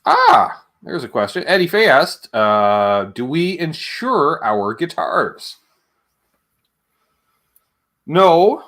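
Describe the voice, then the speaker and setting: A man's voice: a little high, wildly swooping pitch, slow pace, a bit bright. A man hosting a livestream in a casual, chatty way, wandering between asides and viewer comments. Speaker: livestream show host.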